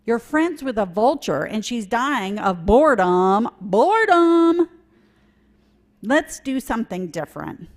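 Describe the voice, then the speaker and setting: A woman's voice: a little high, sing-song, slow. Woman playing a blunt, fed-up lady vulture. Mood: bored. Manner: blunt, exasperated. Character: sensible, fed-up female vulture.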